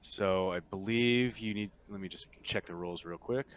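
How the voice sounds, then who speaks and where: A man's voice: sits low, normal speed, slight background noise. A man speaking casually as game master, refereeing a tabletop roleplaying game.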